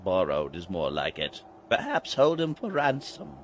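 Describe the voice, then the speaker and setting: An actor playing an eerie, grandiose guide to death and Halloween in an audio drama, swinging between hushed and booming delivery. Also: male voice, big pitch swings, unhurried, slight background noise, exaggerated voice. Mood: grave, hushed. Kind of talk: full-cast audio drama acting. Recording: loud music under the voice.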